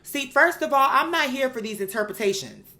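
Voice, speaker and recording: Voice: high voice, expressive, fairly quick, bright, forceful tone. A host telling a story in a casual monologue, with a sassy, candid, profane and emphatic manner. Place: bedroom. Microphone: microphone.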